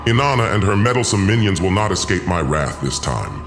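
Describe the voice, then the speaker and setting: Man voicing a deep, gloating tyrant overlord. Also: big pitch swings. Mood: menacing, commanding. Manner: commanding, pompous, deliberate menace. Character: gloating, menacing tyrant alien lord, imperious villain. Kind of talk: first-person audio drama villain.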